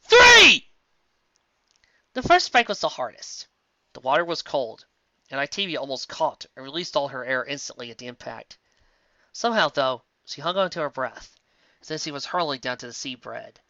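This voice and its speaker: A lively, bright-voiced story reader. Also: a little fast, no room sound, highs cut off. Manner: expressive reading with sudden jumps in pitch. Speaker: fanfiction reader. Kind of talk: storybook audiobook narration.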